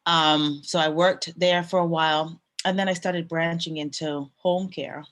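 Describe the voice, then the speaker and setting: A woman who grew up in Canada, a nurse and business owner, telling her story in a relaxed way with pauses and fillers. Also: deep voice. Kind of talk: casual interview storytelling.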